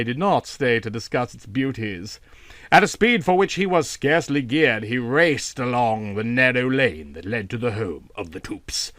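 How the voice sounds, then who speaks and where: A man's voice: mid-range voice, a bit bright, no echo, clean studio sound. A man reading a literary short story aloud as an audiobook narrator.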